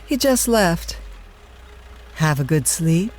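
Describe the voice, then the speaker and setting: An actress playing a restless, coy, money-hungry wife in an audio drama. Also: female voice, lowish voice, very animated, takes it slowly, a little mellow, heavily acted. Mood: casual, evasive.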